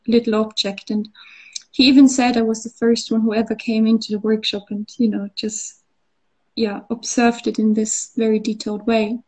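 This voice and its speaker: A woman from Austria speaks as the guest in a relaxed interview. She pauses often and restarts her sentences. Her voice is mellow and fairly high, but her pitch stays quite even. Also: poor audio. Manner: hesitant, many fillers and restarts. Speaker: artist interviewee. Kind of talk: conversational interview.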